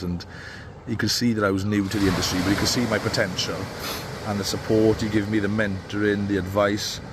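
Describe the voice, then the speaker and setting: Sniffly man coaching barbers. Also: on the low side. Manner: rambling, with many fillers and restarts. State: stuffy with a cold. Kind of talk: casual advice talk. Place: outdoors on a beach. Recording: faint outdoor noise.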